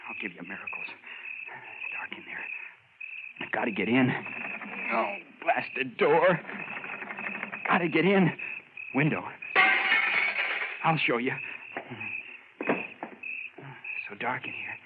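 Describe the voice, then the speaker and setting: An obsessed young student scientist played by a man in an old radio horror drama, feverish and muttering to himself. The recording is thin and narrow, with clear hiss and noise. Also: medium pitch, animated, measured pace, long pauses, natural voice. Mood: frantic muttering. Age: young adult. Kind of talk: 1940s radio horror acting. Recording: noisy, narrow-band vintage radio recording.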